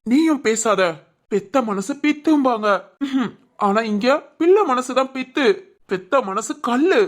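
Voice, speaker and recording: A woman acts out an angry middle-aged husband and father from a family audio drama. The voice sits fairly high for the part and is scolding, sarcastic and bitter, full of accusation. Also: lively intonation, unhurried.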